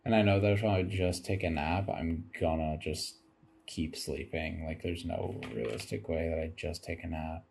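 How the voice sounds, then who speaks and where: A man's voice: sits low, little pitch movement, moderate pace, gravelly, a little mellow, slight background noise. A tired man giving rambling, casual commentary over game footage, musing aloud as he goes and swearing casually.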